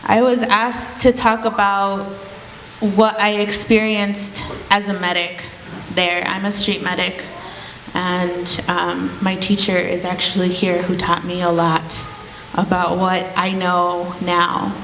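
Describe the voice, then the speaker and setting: A woman's voice: on the low side, little pitch movement, slow, fairly bright tone. A woman from Guatemala, whose first language is Kaqchikel, speaking earnestly to a gathering as a street medic and activist. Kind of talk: speech to a gathering. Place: indoor community gathering. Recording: echoey hall with faint noise.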